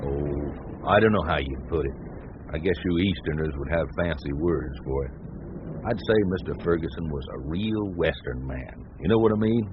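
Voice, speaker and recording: Folksy older American foreman, very low voice, homespun radio-play delivery, noisy dull recording. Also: normal speed. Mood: reflective. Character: folksy older small-town ranch foreman, plain-spoken, loyal. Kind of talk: 1980s radio drama acting.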